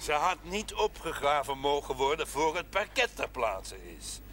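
An actor playing a principled, indignant village doctor in a television drama, speaking formally and emphatically. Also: male voice, a little high, sing-song, a little slow, fairly bright tone. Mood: stern. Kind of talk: 1970s television drama acting. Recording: faint noise on old TV audio.

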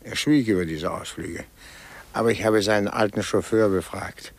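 Shrewd private investigator, lowish creaky voice, dry, matter-of-fact, 1950s radio drama. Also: male voice, moderate pace. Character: shrewd former policeman, matter-of-fact investigator helping a client.

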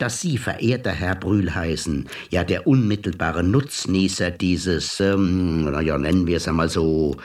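An actor playing a cool, mocking male blackmailer in a radio play, smooth and unhurried. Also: low-pitched, distant mic, exaggerated voice. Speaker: blackmailing hacker visitor. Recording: voice set back from mic, music behind.

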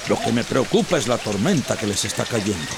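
Older central american guy, gravelly, reassuring, radio drama, rain and crowd murmur behind. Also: lowish voice, sing-song, brisk. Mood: calm, reassuring. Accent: Central American Spanish. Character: calm, reassuring older local man.

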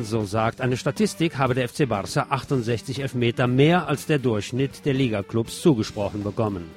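A man presenting scripted radio news commentary as host, wry and deliberate, with emphatic phrasing. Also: medium pitch.

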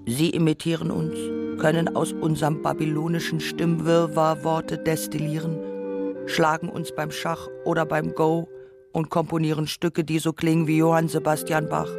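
A performer voicing a reflective radio narrator who muses on robots and humans in deliberate, rhetorical phrases. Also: medium pitch, monotone, creaky, close to an everyday voice. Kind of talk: scripted radio essay narration. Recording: music behind the voice. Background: music.

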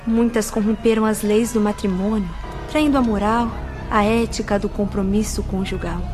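A woman playing a spirit nun who guides visitors, speaking in a grave, instructive, measured way in a radio drama. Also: mid-range voice, fairly even intonation, close to an everyday voice. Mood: solemn. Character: solemn nun spirit, stern female guide. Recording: music bed under voice.

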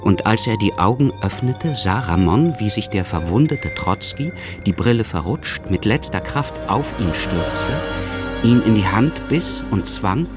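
An actor narrating a literary radio play in a sombre, measured, scripted manner. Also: male voice, fairly low pitch, animated, over music.

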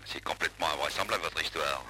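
A man's voice: very deep voice, fast, noisy background. A man acting in a French radio play as an indignant, scornful listener who phones in to complain.

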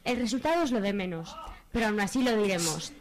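A female narrator with a Spain accent reads a radio soap script. Her pitch is middling and her telling is wry, in storytelling style. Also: lively intonation, fast, noisy background. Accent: Spanish from Spain.

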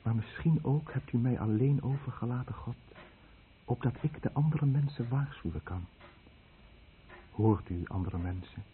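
An actor playing a guilt-ridden Japanese fisherman in a radio drama, speaking solemnly and deliberately as he warns his listeners. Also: medium pitch, close to an everyday voice. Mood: anguished prayer. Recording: old radio play, tinny narrow band, faint noise.

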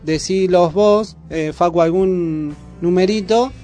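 A man's voice: high-pitched, takes it slowly, dark tone, soft background music. A man with a Buenos Aires accent making casual announcements as co-host of a community radio show. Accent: Argentine, Buenos Aires.

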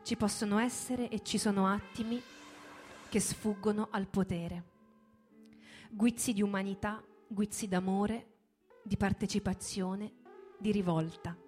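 A woman's voice: mid-range voice, steady tone, a little slow, long pauses, darkish tone. Woman's lyrical reading over music. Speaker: storyteller, performer. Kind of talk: dramatic, lyrical narrative reading. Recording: music behind the voice. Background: background music.

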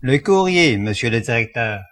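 A man plays a dutiful prison attendant in a radio comedy. His voice is of medium pitch. He speaks formally and very deferentially. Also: lively intonation, normal speed, a bit bright, close to an everyday voice. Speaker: actor in an audio drama. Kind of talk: French radio comedy acting.

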